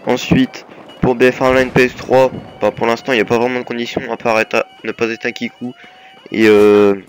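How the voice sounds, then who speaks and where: A man's voice: medium pitch, flat delivery, fairly quick, faint music behind, low-quality recording. A young man with a slight cold, giving a hesitant, filler-heavy voice-over that presents his gaming team. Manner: hesitant, many fillers and restarts. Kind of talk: casual voice-over over gameplay.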